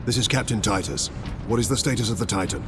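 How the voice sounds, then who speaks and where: A man acting a stern, resolute space marine captain in a video game, speaking in a grave, measured, commanding way. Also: fairly low pitch, close to an everyday voice. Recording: loud music and battle noise behind.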